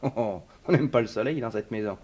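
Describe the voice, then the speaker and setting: An actor playing an observant detective who narrates his case with measured, wry musing in a 1960s French radio serial. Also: on the low side, darkish tone, lightly acted. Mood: musing, suspicious.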